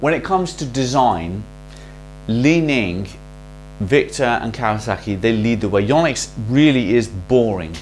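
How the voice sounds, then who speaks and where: A man hosting a casual product review in a chatty, salesy way with quick asides, his voice a little worn from recording many videos. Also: medium pitch, expressive. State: losing his voice. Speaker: racket reviewer and shop host. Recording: faint mains hum.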